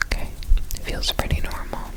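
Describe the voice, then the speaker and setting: Someone whispering softly and breathily in an ASMR roleplay, speaking gently to the listener as an examiner and often repeating words, close to the microphone. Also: moderate pace, low-quality recording.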